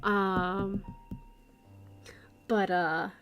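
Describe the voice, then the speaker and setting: A woman streamer chatting casually at her computer, rambling and restarting her sentences, her voice going hoarse. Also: medium pitch, steady tone, extremely slow, a bit bright, soft background music. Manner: rambling, self-interrupting, many restarts. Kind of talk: casual livestream chat.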